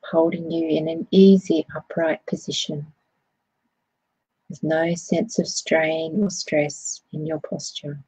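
Very husky, low-voiced woman guiding meditation. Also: steady tone, no echo, rough recording. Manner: soothing, gentle, unhurried phrasing. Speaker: meditation guide. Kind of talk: guided body scan meditation.

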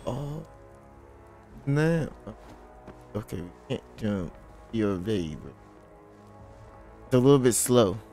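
Hoarse young male game streamer, low-ish, rambling and laid-back, soft music under. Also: animated, slow pace, long pauses. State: hoarse, voice nearly gone. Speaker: gaming channel host. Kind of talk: casual gameplay commentary.

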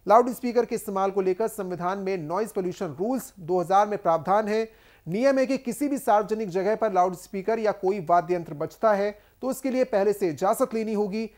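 A man's voice: sits high, darkish tone. A man, reading a scripted news report as an anchor.

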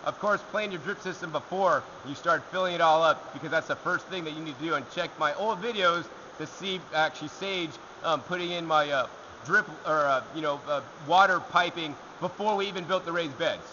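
A young man hosting a gardening show, answering viewer questions to camera outdoors in a rock alcove, energetic and gesturing as he talks. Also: a little high, a little fast, highs cut off, noisy background. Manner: energetic, talks with his hands.

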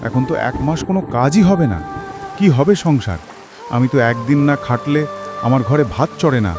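A worn-out, despairing cartoon father, as a man acts him out sighs and laments. Music runs under his plain, mid-pitched voice. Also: animated, moderate pace, close to an everyday voice. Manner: sighing, despairing. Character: poor weary village day-labourer father.

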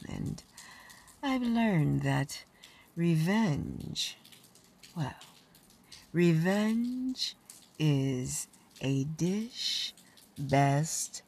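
An actress playing a sultry, vengeful female vampire with a breathy, husky voice and theatrical menace in a gothic radio drama. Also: low-pitched, sing-song, very slow, pauses often, darkish tone, a little hiss or hum, exaggerated voice. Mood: cold, vengeful.

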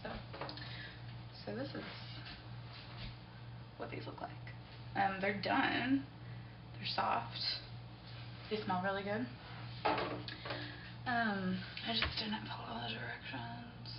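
A woman's voice: mid-range voice, expressive, slow, long pauses, background noise. A young woman talks in an easygoing, rambling way to the camera while cooking in a home kitchen. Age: young adult. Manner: easygoing, rambling, self-excusing. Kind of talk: casual unplanned chat to camera while baking. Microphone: camera mic a few feet away. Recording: roomy, distant, dull camera audio with music.

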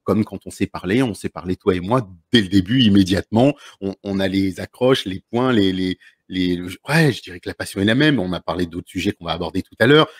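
A man's voice: sits low, brisk, breathy edge, no room sound, clean studio sound. A man, hosting a casual live video chat at a desk microphone, chatty and encouraging, often repeating himself. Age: 30s. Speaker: host of a live stream. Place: indoor room at home. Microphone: large desk microphone.

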